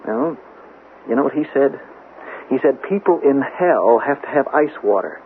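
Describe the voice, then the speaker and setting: A man's voice: medium pitch, expressive, normal speed, natural voice. An actor playing a desperate young man in his twenties accused of murder, stammering and pleading in a 1970s radio drama style. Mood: defensive, bitter. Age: 20s. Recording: noisy phone-like old radio drama recording.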